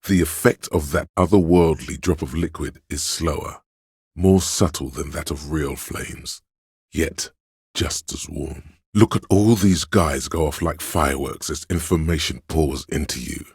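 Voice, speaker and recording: Very deep, seductive voice of addiction. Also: male voice, unhurried, darkish tone, husky, no echo, clean studio sound, exaggerated voice. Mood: savoring, hypnotic. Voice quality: very deep, resonant. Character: seductive, indulgent inner voice of addiction and craving. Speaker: video game voice actor. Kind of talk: theatrical second-person game narration.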